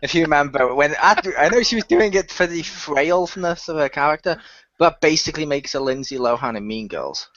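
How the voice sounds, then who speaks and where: A man's voice: on the high side, lively intonation, fairly quick, a bit bright, no echo. A man, a cast member, chatting casually and adding dry joking asides. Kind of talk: casual podcast chat. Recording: dull lo-fi dry sound.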